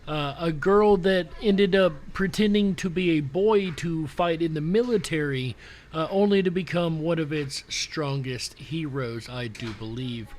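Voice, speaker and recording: A man's voice: a little high, a little slow, darkish tone. A man hosting a show in a casual, conversational showman's style, speaking directly to his listeners. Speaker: show host. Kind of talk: casual live show commentary to audience. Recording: music playing behind the voice. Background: background music.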